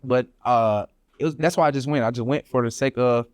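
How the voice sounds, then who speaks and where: A man's voice: mid-range voice, brisk, a little mellow, no room sound, studio quality. A young man from Atlanta, a podcast guest casually telling travel stories, full of slang and "you know what I'm saying". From Atlanta, Georgia. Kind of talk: casual storytelling chat.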